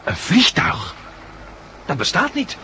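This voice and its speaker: A man's voice: high-pitched, wildly swooping pitch, takes it slowly, sharp tone, exaggerated voice. An actor playing a reflective, world-weary male catastrophe survivor in a 1960s Dutch radio drama, articulate and wry, with a Netherlandic accent. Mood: excited, urgent. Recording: noisy old 1960s radio recording.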